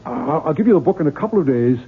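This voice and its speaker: An actor playing an irritable, guilt-ridden novelist with a curt, weary, grumbling manner in a 1970s radio drama. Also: male voice, fairly high pitch, animated, rapid-fire, husky, full character voice. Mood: eager, earnest. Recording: muffled phone-like vintage radio recording.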